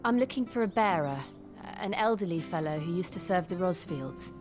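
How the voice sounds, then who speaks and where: A woman playing a courteous, measured young traveler in a fantasy video game. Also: lowish voice, animated, music in the background, lightly acted. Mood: calm, polite. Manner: polite, measured, composed. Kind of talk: video game cutscene acting.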